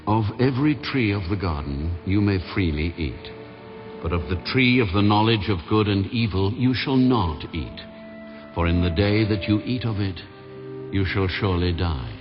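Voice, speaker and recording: God, very low solemn commanding voice, bible drama, dull sound w music behind. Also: male voice, animated, normal speed. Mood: stern command. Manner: solemn, authoritative. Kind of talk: dramatized scripture acting. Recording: dull audio under loud music.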